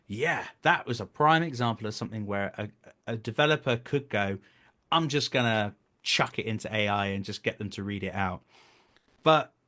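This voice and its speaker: A man's voice: medium pitch, fairly bright tone. A man speaking as an interview guest in casual conversation, earnest and emphatic, repeating phrases for stress. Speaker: guest, voice actor.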